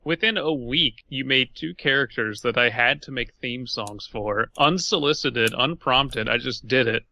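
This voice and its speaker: Bright, forceful man bantering casually. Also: mid-range voice, unhurried, vocal fry, dry recording. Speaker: podcast co-host.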